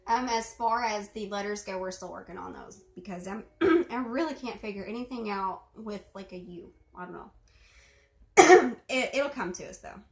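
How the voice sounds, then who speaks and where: A young woman from Arkansas chatting casually to camera at home, with lots of fillers and throat clearing. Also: mid-range voice, moderate pace. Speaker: video host responding to a reading. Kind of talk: casual chat. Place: bedroom at home. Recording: roomy echo.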